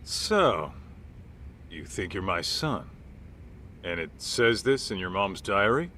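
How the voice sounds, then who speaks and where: An actor playing a gruff middle-aged ex-gangster turned detective in a video game dub, talking bluntly and wearily in informal, clipped phrases. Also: male voice, low voice, big pitch swings, slow, sharp tone, slight background noise, exaggerated voice. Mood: uneasy, dry.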